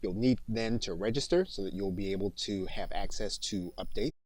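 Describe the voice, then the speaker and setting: A man narrating step-by-step software instructions as a tutorial instructor, in a methodical way, recorded as a close-mic voice-over. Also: fairly low pitch, moderate pace, no room sound.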